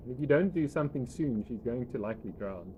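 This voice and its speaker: A South African man narrating a tabletop game as game master, in a halting, conversational way. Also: mid-range voice, expressive, narrow, tinny audio. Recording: noisy room recording.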